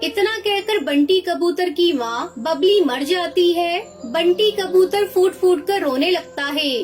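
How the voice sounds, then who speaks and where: Very high-pitched woman narrating a cartoon story. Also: slow pace, soft background music.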